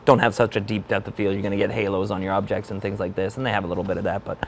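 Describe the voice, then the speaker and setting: A man's voice: fairly low pitch, little pitch movement, rapid-fire, slight background noise. A man judging on a design panel, enthusiastic and stumbling over restarts as he critiques. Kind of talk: excited critique.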